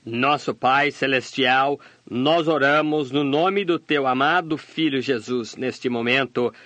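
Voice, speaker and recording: A man voicing a sermon translation, delivering it steadily and measured. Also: medium pitch, bright, forceful tone, no room sound. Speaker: sermon interpreter voice-over. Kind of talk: translated sermon read aloud.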